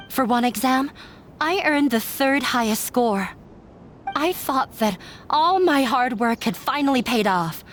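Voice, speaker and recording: A woman's voice: high voice, slight background noise. An actress playing a dejected, self-sacrificing young woman in an anime game dub, confiding in a sorrowful, resigned way. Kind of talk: English-dubbed anime game voice acting.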